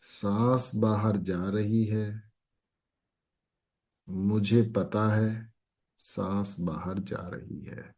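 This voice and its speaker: A man guiding a meditation as an instructor, speaking calmly in short phrases with long pauses. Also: fairly low pitch.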